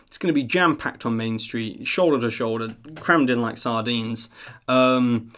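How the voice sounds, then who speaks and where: A man's voice: medium pitch, steady tone, a little slow, fairly bright tone. Rambling, earnest man chatting. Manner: rambling, earnest, self-deprecating. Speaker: live stream host of a theme park news channel. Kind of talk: casual chat to camera on a live stream.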